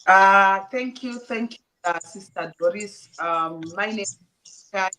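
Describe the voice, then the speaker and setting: A woman from Africa speaking slowly and hesitantly, heard from a distance over a video call. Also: medium pitch, pushed and bright. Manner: slow, hesitant. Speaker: guest on live stream.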